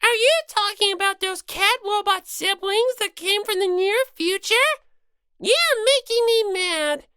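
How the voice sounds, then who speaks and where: Small female rabbit mascot, very high and bright, whiny, indignant, scolding, for an audiobook. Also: a little slow, no echo, clean studio sound. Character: small squeaky indignant little-sister rabbit mascot, easily flustered. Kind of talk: dramatized audiobook character reading.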